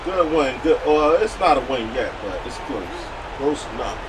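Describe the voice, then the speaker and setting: A man's voice: mid-range voice, lively intonation, normal speed. A man reacting casually to a game he is playing, in muttered asides and exclamations. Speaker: gamer. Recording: distant mic, noisy game audio behind.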